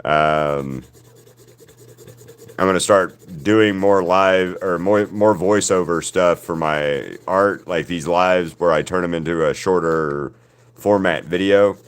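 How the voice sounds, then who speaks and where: A man with a deep voice, chatting casually with viewers while he paints on a livestream. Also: smooth. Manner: rambling, answers viewers' chat by name. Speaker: artist hosting a livestream.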